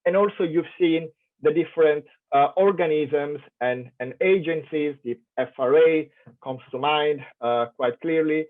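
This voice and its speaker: A man's voice: a little high, unhurried. A man from Italy with an Italian accent, giving a keynote lecture with lots of fillers and restarts. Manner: thoughtful, many fillers and restarts. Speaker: keynote speaker. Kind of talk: academic lecture. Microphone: online conference call. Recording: tinny phone-like audio.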